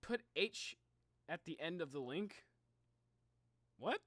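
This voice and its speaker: A young man reacting on a live stream in casual, slangy chat, excitable and thinking out loud. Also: fairly high pitch, slow, no echo. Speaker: streamer reacting to game videos.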